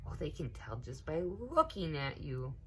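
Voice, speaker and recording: Woman reading as a patient, low-voiced older cousin. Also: very animated, unhurried, fairly bright tone, exaggerated voice. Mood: reassuring. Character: older girl cousin Joan, patient, reassuring, gently firm. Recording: noticeable background noise.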